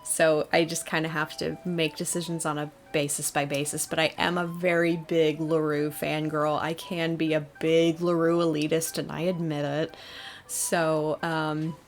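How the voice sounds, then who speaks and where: A woman's voice: sits low, level tone, moderate pace, faint music behind. A young adult woman hosting a casual question-and-answer video, talking in a thoughtful, hesitant, rambling way. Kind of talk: casual fan Q&A talk.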